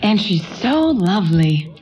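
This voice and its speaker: An actress playing a fond, nostalgic, gently bossy grandmother in a radio play, with a folksy way of talking. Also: medium pitch, very animated, unhurried, lo-fi sound, faint music behind. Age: older.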